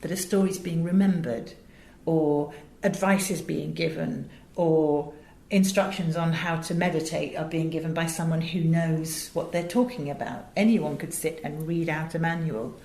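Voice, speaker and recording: A woman's voice: low-pitched, moderate pace, few pauses, dark tone. A British woman being interviewed, telling anecdotes and explaining her work in an articulate, emphatic way. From England. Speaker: interview guest, audio director and producer. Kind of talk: animated conversational storytelling in an interview. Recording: roomy, echoing interview sound.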